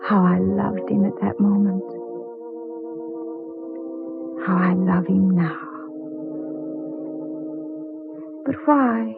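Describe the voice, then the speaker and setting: A woman's voice: mid-range voice, steady tone, moderate pace, creaky, close to an everyday voice. A woman playing a devoted, earnest young sweetheart in melodramatic 1940s radio drama style. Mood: yearning. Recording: muffled vintage radio recording with music.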